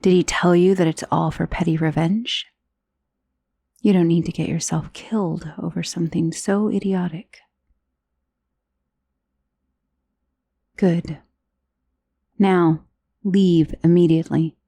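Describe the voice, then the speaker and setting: A woman playing a powerful, self-assured female vampire, protective and possessive of a lover, in an intimate ASMR romance roleplay that often drops toward a breathy whisper. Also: fairly low pitch, steady tone, a little slow, dark tone, dry recording, heavily acted. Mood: cold, commanding.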